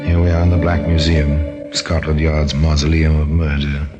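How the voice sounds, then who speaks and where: An extremely deep-voiced man narrates in old-time radio mystery style. He is wry and deliberate, savoring the grim details, with faint music behind. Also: flat delivery, lo-fi sound. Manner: wry, deliberate, macabre relish. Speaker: narrator and host.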